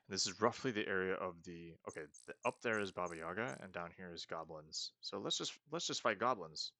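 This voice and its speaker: A man's voice: deep voice, lively intonation, a little fast, bright, forceful tone, no echo. A man casually commenting as he plays a game, chatty and self-mocking as he thinks aloud. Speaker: let's play host.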